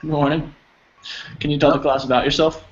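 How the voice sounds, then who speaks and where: A man's voice: on the high side, little pitch movement. Patient man teaching an online English class. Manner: patient, encouraging, explains slowly with examples. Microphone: video call. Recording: slightly dull audio with faint noise.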